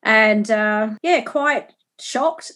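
A woman from Perth with an Australian accent, a guest in a casual conversation, chatty and quick to agree. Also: fairly high pitch, bright, forceful tone. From Perth, Western Australia.

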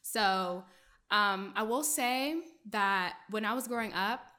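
Young woman, journalist, raised in Maryland, first language French; bright tone, loose conversational delivery with fillers. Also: mid-range voice, slow pace. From Maryland, USA. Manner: chatty, many fillers, laughs often. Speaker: journalist, guest. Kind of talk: casual interview storytelling.

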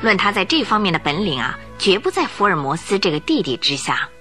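Bright, animated woman narrator. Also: medium pitch, brisk. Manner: animated, dramatic storytelling. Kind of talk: storytelling radio drama narration. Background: music bed.

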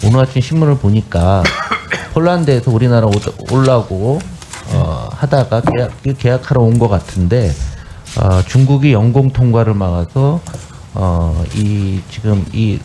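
A man questioning a witness formally as a lawmaker, halting as he searches for words. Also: on the low side, expressive, noisy background. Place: parliamentary hearing room.

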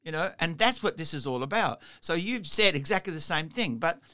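A man's voice: a little high, lively intonation, a little fast, pushed and bright. A middle-aged man from Italy with an Australian accent, hosting a casual interview and telling chatty stories with lots of "you know". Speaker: podcast host.

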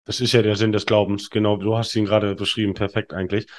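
A man's voice: lowish voice, level tone, quick pace. A man in his thirties, a podcast guest telling candid stories in a rambling way with lots of fillers and restarts. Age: 30s. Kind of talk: candid conversational storytelling.

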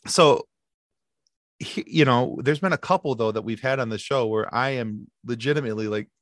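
A man guesting on a podcast, telling stories in a lively chatty way with quick asides and restarts. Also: medium pitch, no room sound, clean studio sound. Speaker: podcast guest, sci-fi podcast co-host. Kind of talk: casual interview chat.

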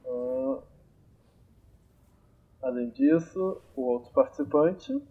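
A man's voice: medium pitch, slow, husky, highs cut off. A man hosting a podcast, thinking aloud with many hesitations on an amateur remote recording. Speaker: podcast host. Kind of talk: casual podcast introduction. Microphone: amateur remote recording on phone or computer.

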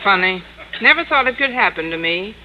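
A woman playing a wry, sharp-tongued schoolteacher in 1950s radio comedy, with a dry, deadpan delivery. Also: medium pitch, brisk, lightly acted. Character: wry sharp-tongued schoolteacher, also posing as a rude French madame. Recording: dull noisy old radio recording.

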